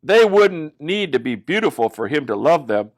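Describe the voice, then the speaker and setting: A man reading a children's Bible story as a pastor, in a dramatic, expressive way with exaggerated emphasis. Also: a little high, moderate pace.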